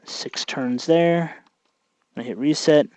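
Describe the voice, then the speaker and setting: A man hosting a casual hands-on tutorial, thinking aloud in a halting way as he works. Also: on the high side, heavy background noise. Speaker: tutorial host, hobby electronics maker.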